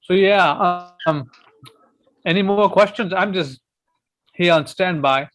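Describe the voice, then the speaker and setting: A man's voice: sits high, takes it slowly, fairly bright tone, soft background music. Direct, patient man on a video call. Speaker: meeting co-host. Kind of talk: casual chat.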